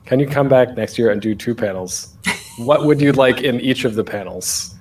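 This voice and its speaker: A man's voice: mid-range voice, moderate pace, darkish tone, a little hiss or hum, rough recording. A man presenting a casual online panel as a podcaster, speaking off the cuff in a rambling, self-deprecating, jokey way.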